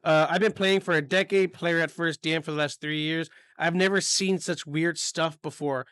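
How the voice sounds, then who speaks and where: A man's voice: on the high side, steady tone, moderate pace, fairly bright tone, smooth, no echo. A man co-hosting a casual chat about tabletop game stories, blunt and swearing a lot as he reads comments aloud. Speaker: podcast co-host reading posts.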